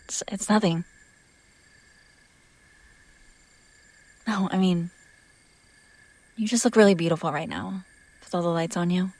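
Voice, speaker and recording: A woman acts out a young female vampire master in an intimate roleplay audio drama. Her voice sits a little low and is recorded very dry and close. She talks casually and haltingly, teasing and protective, slipping at times toward a breathy whisper. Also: a little mellow, no room sound, natural voice. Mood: tender, shy.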